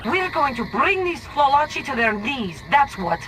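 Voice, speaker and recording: A woman's voice: high-pitched, big pitch swings, moderate pace, music in the background, low-quality recording. An actress playing a confident Soviet woman spy in a video game, cool, commanding and no-nonsense. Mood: brisk, assured.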